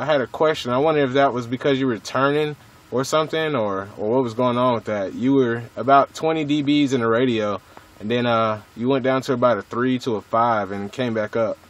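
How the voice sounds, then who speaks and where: A man chatting casually over two-way radio from a vehicle, with slangy, swearing, jargon-heavy talk. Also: mid-range voice. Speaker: CB radio hobbyist filming his radio chat. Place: inside a vehicle at a truck stop. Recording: noisy mobile recording.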